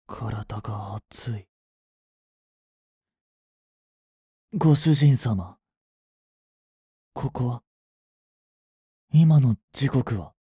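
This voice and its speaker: A man playing a polite, devoted android servant overcome by feverish love in a Japanese romantic audio drama, speaking formally in breathy, halting phrases. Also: mid-range voice, mellow, no echo, clean studio sound, full character voice. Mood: dazed, polite.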